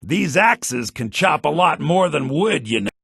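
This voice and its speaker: A gruff, guarded fantasy villager. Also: male voice, on the high side, sing-song, unhurried, fairly bright tone, dry recording, studio quality, full character voice. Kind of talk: video game character dialogue.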